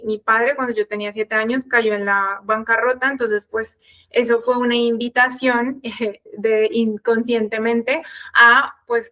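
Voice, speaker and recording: A woman's voice: a little high, sharp tone. A woman in her thirties with a Colombian Spanish accent, presenting an online workshop conversationally as a sales coach.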